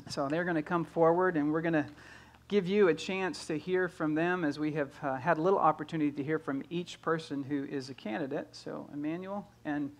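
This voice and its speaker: A man's voice: a little high, a little fast. A man casually interviewing a guest in front of a church congregation.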